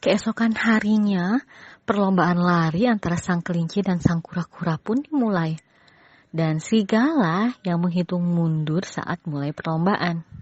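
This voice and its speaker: A woman's voice: lowish voice, a little slow, no echo, highs cut off. A woman narrating a scripted children's story as a storyteller.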